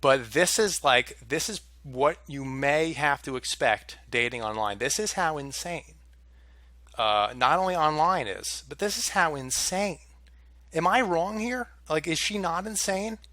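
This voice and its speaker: A young man vents to the camera about something that went wrong, sounding exasperated and swearing freely. His voice is bright and pushes forward. The sound is dry, with no room echo. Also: on the high side, lively intonation, unhurried. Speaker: vlogger. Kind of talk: casual storytelling rant to camera.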